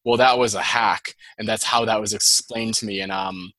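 Male podcast guest, Boston accent, crisp forward tone, chatty explainer. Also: fairly low pitch, steady tone, sharp tone, no echo. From Boston, USA. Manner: chatty, fast-flowing explanations with many "like"s. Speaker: guest, data scientist. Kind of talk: casual interview chat.

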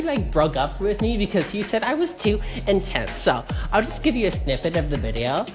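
A woman's voice: mid-range voice, lightly acted. A woman playing a shallow, self-absorbed young podcaster who talks in a giggly valley-girl drawl. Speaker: host of her own audio show. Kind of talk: comedic parody monologue.

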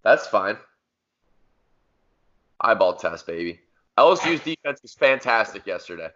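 A man's voice: on the low side, very animated, measured pace, fairly bright tone, lo-fi sound. A man co-hosting a casual sports podcast, arguing his points emphatically and repeating them for force. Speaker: podcast co-host.

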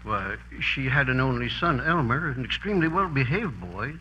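An actor playing a courteous, folksy older small-town photographer in a 1940s radio drama. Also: male voice, mid-range voice, sing-song, normal speed, bright, forceful tone. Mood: helpful, reminiscing. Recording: dull, faintly noisy vintage radio.

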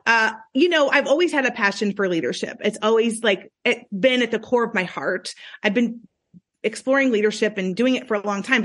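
A woman's voice: on the high side, a bit bright. A woman speaking as a podcast guest in a casual, earnest, self-assured way, often checking for agreement. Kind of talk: casual reflective conversation.

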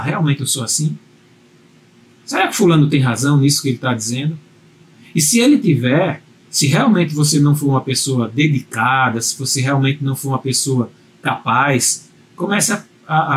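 A man's voice: on the high side, a little hiss or hum. A man teaching a communication video lesson, speaking earnestly and directly to the viewer, often repeating himself for emphasis. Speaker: communication coach.

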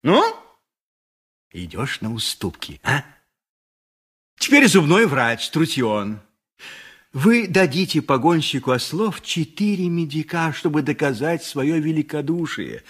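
An actor playing a self-satisfied, conciliatory town judge in a radio play, authoritative yet coaxing. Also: male voice, fairly high pitch, big pitch swings, unhurried, fairly bright tone, breathy edge, full character voice. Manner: authoritative, coaxing, narrating his own case. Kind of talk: 1980s radio play acting.

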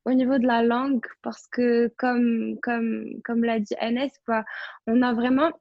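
A woman's voice: fairly high pitch, little pitch movement, unhurried, no room sound, muffled recording. A young woman from Morocco chatting casually and personally as a student on a podcast panel. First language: French. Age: young adult.

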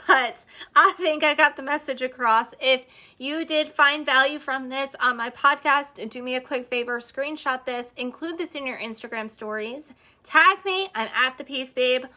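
A woman with a high, bright voice and a slight hoarseness talks straight at her listeners. She delivers business advice as a host, emphatic and repetitive, driving each point home. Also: normal speed. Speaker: podcast host and network marketer. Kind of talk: motivational business talk on a live stream.

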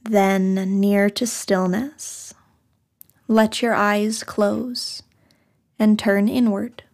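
Slow, even-toned woman guiding meditation. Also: medium pitch. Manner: soothing, unhurried, short phrases with long pauses. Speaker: guided meditation host. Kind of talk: guided meditation, scripted narration.

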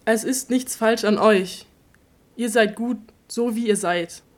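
A woman's voice: fairly high pitch, takes it slowly. A woman voicing a defiant queer speaker. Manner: defiant, impassioned. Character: queer person angrily addressing their harassers. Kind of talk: theater radio play monologue.

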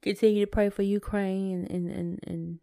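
A woman's voice: mid-range voice, level tone, normal speed, gravelly, dark tone, no echo, studio quality. A middle-aged woman chatting casually and conversationally as a podcast co-host. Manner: colloquial, conversational. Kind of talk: casual chat.

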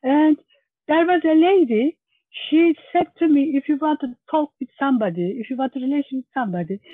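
A woman's voice: sits high, dry recording. A woman with a Turkish accent being interviewed as an English learner, with careful, non-native phrasing. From Turkey. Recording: thin phone-like line.

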